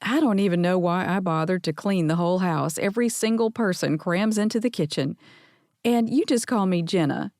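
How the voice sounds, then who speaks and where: A woman's voice: fairly low pitch, moderate pace, darkish tone, no echo, clean studio sound, lightly acted. A woman voicing an effusive, chatty middle-aged mother greeting a guest in an audiobook. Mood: gushing.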